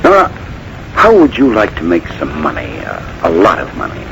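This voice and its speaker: Menacing, volatile 1940s radio schemer. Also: male voice, low-pitched, wildly swooping pitch, normal speed, fairly bright tone, full character voice. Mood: smooth, menacing. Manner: smooth veiled threats swinging to irritated insistence. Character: menacing, short-tempered married playwright, scheming and bitter. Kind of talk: 1940s radio mystery acting. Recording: noisy vintage 1940s radio broadcast.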